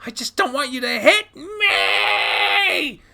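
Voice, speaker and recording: A man voicing an enraged, defiant adolescent male animal in a dramatic story, shouting in tearful, whiny protest. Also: extremely high pitch, sing-song, unhurried, noisy background, pitched above the performer's own voice, exaggerated voice. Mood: tearful rage.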